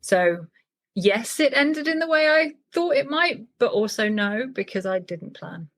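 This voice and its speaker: A woman's voice: fairly high pitch, animated, a little slow, a bit bright. A woman novelist talking about her writing in a casual interview, thoughtful and full of small restarts. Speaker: novelist guest.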